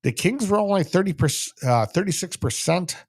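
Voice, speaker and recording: A man's voice: high-pitched, expressive, a little fast, husky. Emphatic Canadian man talking hockey. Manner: emphatic, repeats phrases for stress. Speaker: sports show host. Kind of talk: casual solo hockey commentary.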